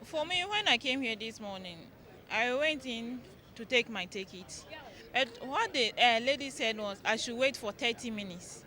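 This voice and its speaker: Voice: medium pitch, lively intonation, normal speed, bright, forceful tone. A passenger giving an exasperated, repetitive account in an interview. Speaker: airline passenger.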